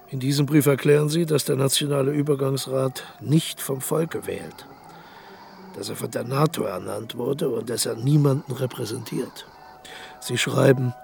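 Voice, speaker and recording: A man playing a gravelly, defiant old dictator. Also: mid-range voice, a little hiss or hum, close to an everyday voice. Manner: insistent, declamatory reading. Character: aging male dictator, defiant and proud. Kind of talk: radio drama acting. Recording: faint music behind.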